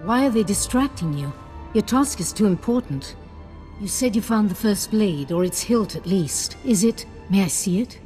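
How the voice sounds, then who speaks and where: Actress doing a scholarly jedi master lady, earnest teacher type, mid pitch, soft music behind, game cutscene. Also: lively intonation, moderate pace, close to an everyday voice. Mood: intent. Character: scholarly, single-minded adult female Jedi master. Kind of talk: video game dialogue acting.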